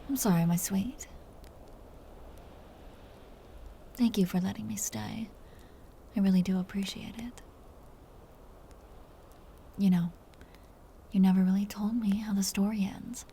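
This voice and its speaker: Vampire countess played by a woman: possessive yet doting, breathy close-up whisper with a creaky edge, mellow and soothing, intimate ASMR roleplay. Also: medium pitch, steady tone, slight background noise, natural voice. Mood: gently teasing. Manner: slow, soothing, teasing endearments.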